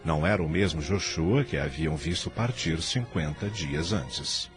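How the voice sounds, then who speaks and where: A man's voice: extremely low pitch, unhurried. A man with a Brazilian accent giving solemn, literary narration for a radio drama. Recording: music bed under narration.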